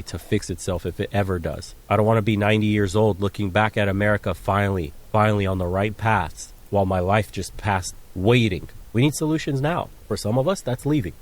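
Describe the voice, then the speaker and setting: A man from Romania hosting a channel, delivering a blunt, profane, heated rant to his viewers. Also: low-pitched. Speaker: channel host. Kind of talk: ranting commentary to camera.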